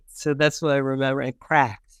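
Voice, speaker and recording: A middle-aged man talking casually as an interview guest, halting and rambling as he explains. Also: mid-range voice, little pitch movement, clean tone, dry recording, studio quality. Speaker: guest author.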